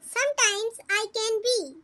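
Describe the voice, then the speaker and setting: A voice playing a friendly fourteen-year-old girl from Pakistan, giving short, simple answers in a slow scripted practice dialogue. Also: very high voice, sharp tone, full character voice. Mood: cheerful. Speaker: conversation practice partner.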